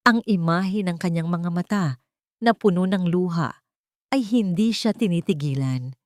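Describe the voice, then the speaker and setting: A woman narrating a romantic drama in a solemn, measured, theatrical storytelling style. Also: on the low side, darkish tone, no room sound, studio quality.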